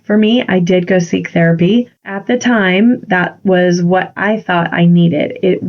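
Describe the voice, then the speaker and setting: A woman's voice: lowish voice, steady tone, a little slow. A woman with a congested voice hosting a solo podcast, speaking earnestly and encouragingly as a confidence coach. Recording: dull lo-fi recording.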